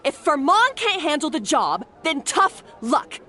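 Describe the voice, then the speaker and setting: A woman's voice: high voice, big pitch swings, a little slow, bright, forceful tone, slight background noise, exaggerated voice. A woman playing a harsh, short-tempered female head chef in a video game, haughty and scolding. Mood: haughty, dismissive. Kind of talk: video game character acting.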